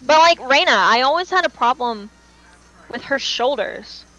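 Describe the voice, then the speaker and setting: A woman's voice: sits high, animated, normal speed, pushed and bright, highs cut off. A woman co-hosting a fan podcast, chatting casually in a slangy, emphatic, opinionated way. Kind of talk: casual chat.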